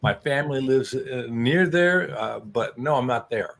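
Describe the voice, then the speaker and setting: A man in his early sixties, originally from New Mexico, speaks as a guest on a casual live chat. His manner is folksy and self-deprecating, with a mid-pitched voice. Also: expressive, a little slow, vocal fry, a bit bright. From New Mexico, United States. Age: early 60s.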